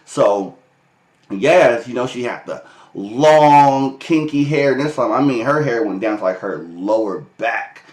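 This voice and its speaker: A man's voice: medium pitch, animated, a little slow, a little mellow. An American man talking casually to his vlog viewers, with loose chatty delivery, restarts and frequent chuckles. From United States. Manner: loose and conversational, many fillers and restarts, laughs often. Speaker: vlogger. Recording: echoey room.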